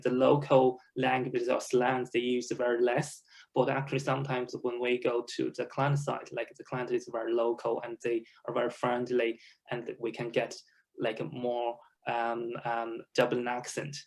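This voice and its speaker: A man's voice: medium pitch, completely flat delivery, normal speed, creaky, rough recording. A man from China speaking English as a second language as a guest on an online alumni panel, talking hesitantly with many fillers and frequent laughs. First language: Chinese. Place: home, over a video call.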